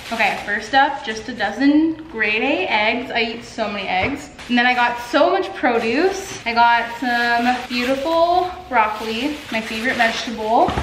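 A woman's voice: on the high side, expressive, normal speed, few pauses, a bit bright, a little hiss or hum. A young woman in her twenties, chatting casually to camera at home with lots of filler words and busy hand gestures. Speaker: artist vlogger. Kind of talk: casual vlog chat. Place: home living room and kitchen, indoors. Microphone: camera on a stand. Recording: echoey room.

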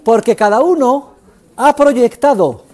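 Theatrical older professor, high voice. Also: male voice, measured pace. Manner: theatrical, emphatic, swings from shouting to low asides. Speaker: economics professor. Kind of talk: university lecture. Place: large university classroom.

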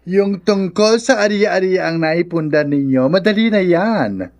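A Filipino actor playing a blunt, sassy brotherly confidant giving advice in a radio drama, with an emphatic delivery. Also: male voice, high-pitched, expressive, a little slow, a little mellow. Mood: sassy, consoling.

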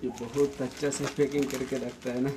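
A man's voice: medium pitch, flat delivery, brisk, heavy background noise. A young man from India giving a casual commentary as he hosts a product unboxing. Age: young adult. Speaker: unboxing video host. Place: just outside a room at home, seated.

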